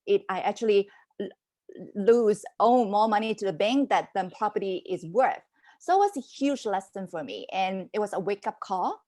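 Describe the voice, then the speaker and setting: Animated woman telling her story. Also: mid-range voice, moderate pace. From Hong Kong and Canada. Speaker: podcast guest, business mentor. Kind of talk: casual interview storytelling.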